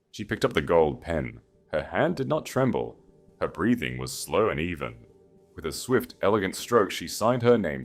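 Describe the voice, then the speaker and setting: A man narrating a period romance story in a dramatic, scripted style. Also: low-pitched. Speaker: audiobook narrator.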